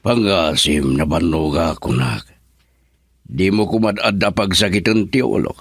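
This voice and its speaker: A man's voice: sits low, a little slow, slightly rough, no echo, studio quality, heavily acted. An actor with an Ilocano Filipino accent playing a commanding, evasive businessman husband in a radio drama. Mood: firm, irritated.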